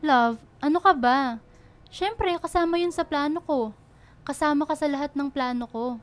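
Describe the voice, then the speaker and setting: A woman's voice: high voice, moderate pace, faint music behind, close to an everyday voice. A woman playing a polite, earnest young girlfriend in a radio drama, hesitant and pleading, with a Filipino accent. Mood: pleading, upset.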